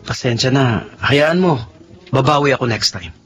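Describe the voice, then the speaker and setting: A man's voice: mid-range voice, lively intonation, moderate pace, a bit bright, faint music behind, natural voice. A man with a Filipino accent playing a generous, apologetic restaurant owner and friend in a radio drama, speaking in a reassuring, conversational way. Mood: apologetic, hesitant.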